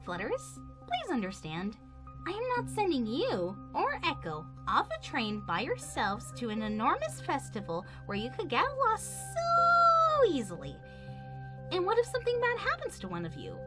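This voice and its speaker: A woman playing a caring, firm young pony princess in an animated cartoon drama, earnest and emphatic. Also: high voice, moderate pace. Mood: alarmed, scolding. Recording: music behind the dialogue.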